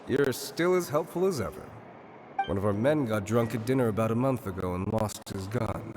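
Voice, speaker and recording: An actor playing a shrewd, wry tavern informant in a video game, talking in a dry, knowing way. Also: male voice, fairly low pitch, expressive, normal speed, noisy background, natural voice. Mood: wry, explaining.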